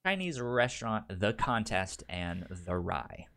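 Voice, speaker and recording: A man co-hosting a podcast in casual, unscripted chat, with a stutter that repeats first syllables and a halting, explanatory way of talking. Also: on the low side, very animated, creaky, fairly bright tone. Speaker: podcast co-host.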